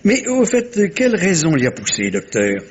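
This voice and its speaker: An actor playing a shrewd, courteous, theatrical Belgian detective in a 1950s-style French radio mystery, with suave, teasing phrasing and a husky voice. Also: male voice, high voice, huge pitch swings, normal speed, full character voice. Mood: intrigued, pleased.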